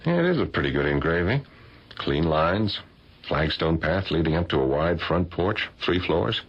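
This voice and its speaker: Deep-voiced, animated art academic husband. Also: male voice, normal speed, breathy edge, exaggerated voice. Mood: thoughtful, appraising. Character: genial married art academic, critical eye, animated. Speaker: radio drama actor. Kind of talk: radio theater acting, naturalistic dialogue. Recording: muffled, dull old radio broadcast.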